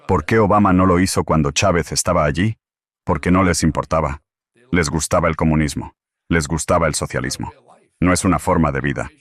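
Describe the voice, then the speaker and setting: A man's voice: deep voice, no room sound. A man speaking as a political commentator guest, emphatic and repeating his key phrases in an interview answer.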